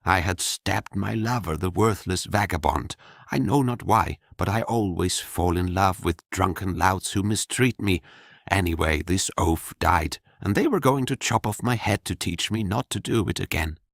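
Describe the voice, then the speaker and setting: Brief: man voicing a blunt young maid, deep harsh voice, gravelly edge, audiobook. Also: normal speed, dry recording, studio quality. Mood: bitter. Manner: blunt, sharp-tongued.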